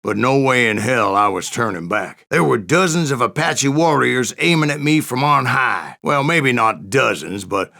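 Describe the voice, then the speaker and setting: A man playing a grizzled old American gunslinger in a video game, telling tall tales with a folksy, wry, gravelly drawl. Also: mid-range voice, normal speed, hardly any pauses, pushed and bright. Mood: dramatic storytelling. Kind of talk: video game character narration.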